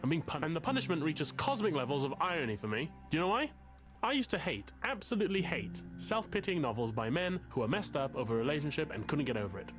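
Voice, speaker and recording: An anxious, self-pitying intellectual man in a narrative game. His pitch moves in lively swings as he halts, interrupts himself and spins wordy confessions. Music plays clearly behind him. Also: fast. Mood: anguished, self-pitying. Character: anxious, bookish, self-pitying man agonizing over an affair. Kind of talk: confessional dramatic game dialogue.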